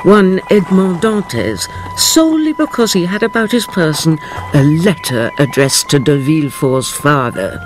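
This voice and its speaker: Swooping, theatrical radio storyteller. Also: male voice, on the high side, very animated, measured pace, low-quality recording. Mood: grave, relishing. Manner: ornate, confiding storyteller. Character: ornate, confiding storyteller addressing honoured friends. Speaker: narrator. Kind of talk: theatrical radio drama narration. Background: music.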